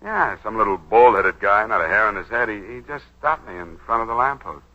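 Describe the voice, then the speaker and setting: Brash smooth-talking schemer, forties radio drama, low forceful voice, muffled vintage recording. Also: male voice, expressive. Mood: wry, breezy. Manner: wry, fast-witted, smooth-talking. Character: brash, wisecracking young lawyer turned schemer, slick and probing. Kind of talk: 1940s radio drama acting. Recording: dull 1940s radio broadcast recording.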